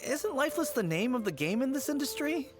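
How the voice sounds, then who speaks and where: A man's voice: very high-pitched, sing-song, fairly quick, over music. A man playing a doubtful, meek male funeral parlor employee in a video game, hesitant and deferential. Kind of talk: video game character acting.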